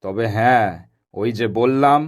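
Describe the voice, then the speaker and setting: A man's voice: mid-range voice, unhurried, lightly acted. Man acting a greedy, domineering cartoon businessman. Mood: demanding, smug. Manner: self-important, demanding.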